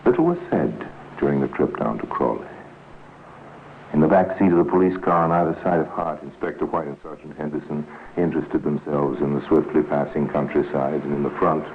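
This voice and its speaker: A man narrating a 1950s radio crime drama in a measured, solemn, dramatic way, as a grave storyteller. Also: rumbling low. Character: grave male storyteller of a police crime tale. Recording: tinny, noisy 1950s radio recording.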